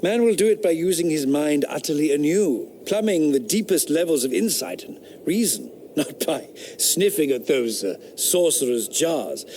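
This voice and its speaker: A man's voice: fairly high pitch, lively intonation, moderate pace, few pauses, dark tone, lightly acted. Guy playing a cocky georgian thinker, scornful and preachy, radio play, a bit of hiss. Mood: sardonic. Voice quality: scornful, declamatory. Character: sardonic 18th-century philosopher, scornful, rationalist man. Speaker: actor in radio drama. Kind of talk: period radio drama acting.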